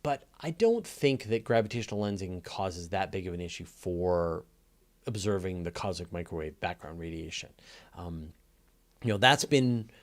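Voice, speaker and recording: Man, lowish voice, Q&A host, conversational, explanatory, enthusiastic, thinks aloud. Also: lively intonation, normal speed. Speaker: host answering audience questions. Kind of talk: casual live science Q&A.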